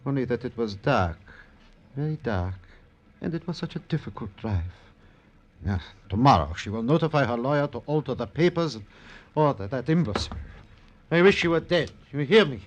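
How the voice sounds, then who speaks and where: An actor playing an anguished, unstable male ballet impresario, theatrical and halting, in an old-time radio drama. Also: medium pitch, lively intonation, dull audio, natural voice. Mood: bitter fury. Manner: theatrical, halting, repetitive, formal phrasing.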